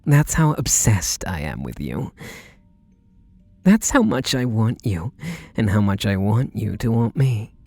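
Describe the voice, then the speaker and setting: A man's voice: on the low side, very animated, measured pace, a little mellow, no echo, faint music behind, heavily acted. A man playing an eager, submissive, lovestruck butler who gushes earnestly and pleadingly in an intimate ASMR roleplay. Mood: needy, adoring.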